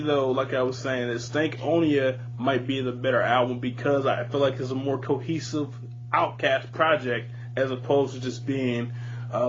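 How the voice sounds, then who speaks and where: A man hosting a podcast, chatting casually and giving his opinions in informal, profane slang. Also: mid-range voice. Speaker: podcast host. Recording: muffled lo-fi audio with hum, hiss.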